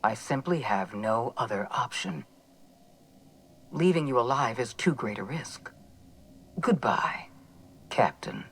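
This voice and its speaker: An actress playing a cold, menacing female corporate official in a video game, speaking in a measured, formal, condescending way. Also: extremely low pitch, expressive, breathy edge, exaggerated voice. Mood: grave resolve. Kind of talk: dramatic video game dialogue.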